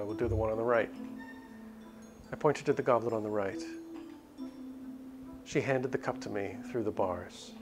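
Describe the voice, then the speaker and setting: A man with a low voice reads a visual novel aloud for a stream. He moves between dramatic narration and casual commentary. Music is clearly heard behind him.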